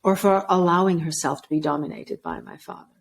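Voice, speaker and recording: A woman's voice: on the low side, steady tone, a little fast. A woman from Sweden, a podcast guest and author, telling her life story in an earnest, thoughtful way. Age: 40s or older. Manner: earnest, thoughtful, with frequent restarts. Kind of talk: reflective personal storytelling.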